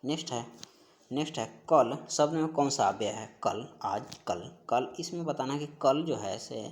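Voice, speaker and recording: Man teaching grammar, steady explaining. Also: medium pitch, normal speed. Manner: explains steadily, repeats key words and answers. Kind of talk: exam-prep grammar lesson.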